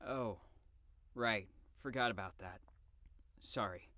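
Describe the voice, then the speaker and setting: A worn-out stubborn young patient, flippant, slow drawl, pitch all over, dry close sound. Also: sharp tone, no room sound. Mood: sheepish. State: very tired, sighing. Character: sarcastic, stubborn, exhausted young psychiatric patient, rambunctious and flippant. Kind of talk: audio drama acting.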